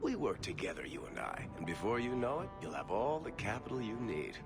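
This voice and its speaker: Glib dwarf rogue, wry persuasive salesman, expressive swooping delivery, game cutscene, music underneath. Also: fairly low pitch, a little fast. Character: smooth-talking, wry dwarf rogue and dealmaker. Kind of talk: video game cutscene dialogue. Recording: music behind the voice. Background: background music.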